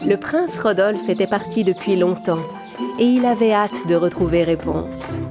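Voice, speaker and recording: A woman narrates a scripted fairy tale for children. Her pitch is medium, and music plays clearly behind her. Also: animated, normal speed.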